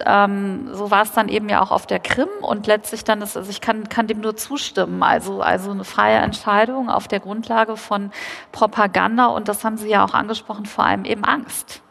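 Analytical woman panelist, gravelly voice, echoing in a large hall. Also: medium pitch, a bit bright. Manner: analytical, measured, with fillers. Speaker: debate panelist. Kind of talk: live panel debate. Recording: long reverb of a large hall.

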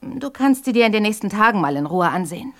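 Actress as overwhelmed young woman, chatty, frank, slightly flustered, medium pitch, audio drama. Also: expressive, fast, natural voice. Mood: flustered, grateful. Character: overwhelmed grieving young granddaughter clearing out an inherited house. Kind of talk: German audio drama acting.

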